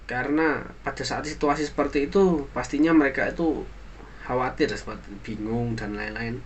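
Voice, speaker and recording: A young man, a university student, giving an earnest spoken opinion for a class assignment, halting now and then with fillers and restarts as he moralizes. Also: mid-range voice, slight background noise. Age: young adult.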